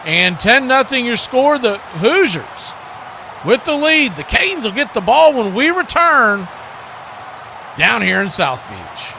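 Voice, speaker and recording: A man's voice: very high voice, very animated, takes it slowly, vocal fry, pushed and bright, slight background noise. An American man doing live football play-by-play and chat as the host of a stream, with a folksy, conversational manner.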